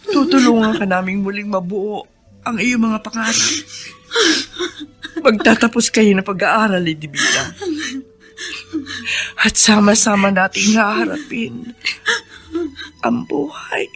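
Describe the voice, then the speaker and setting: A woman's voice: medium pitch, a little slow, long pauses, natural voice. A woman playing a patient, remorseful middle-aged mother in a Filipino radio drama, pleading with emotion. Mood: tender, tearful. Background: dramatic music.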